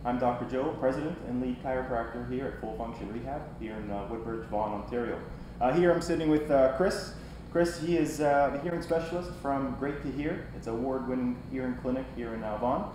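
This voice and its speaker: A man's voice: medium pitch, a little fast, echoey room, background noise. A man in his thirties, a chiropractor hosting a clinic interview show, asking casual questions on a lapel mic in a small studio. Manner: upbeat, brief affirmations. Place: small dark studio set, seated.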